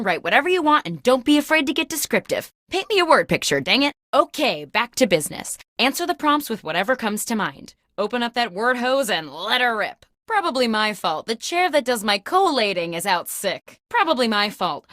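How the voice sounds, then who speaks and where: Quirky female office boss, game host, high-ish pitch, swooping intonation, peppy, mock-corporate, comedic. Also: dry recording, studio quality. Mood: casual, sheepish. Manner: animated, mock-corporate comic delivery. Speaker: video game host character. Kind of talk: scripted comedic game show hosting.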